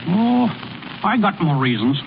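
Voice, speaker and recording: A swaggering country ballplayer, stubborn and boastful. His voice sits high and swoops freely, in classic radio comedy style on a noisy old recording. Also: big pitch swings, a little slow, lo-fi sound. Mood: defiant, boastful. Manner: boastful, rustic ungrammatical drawl. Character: cocky, folksy small-town ballplayer, big eater, stubborn. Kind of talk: 1930s-40s radio comedy acting.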